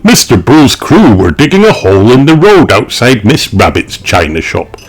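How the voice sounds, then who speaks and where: A man reading a children's story aloud as narrator, expressive and deliberate. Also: medium pitch, a little hiss or hum. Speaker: audiobook narrator.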